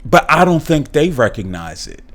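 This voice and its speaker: A man's voice: on the high side, big pitch swings, moderate pace, a bit bright. A middle-aged man hosting a podcast alone, speaking earnestly, often repeating and restarting words and lifting his voice when he stresses a point. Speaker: podcast host. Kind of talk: solo commentary.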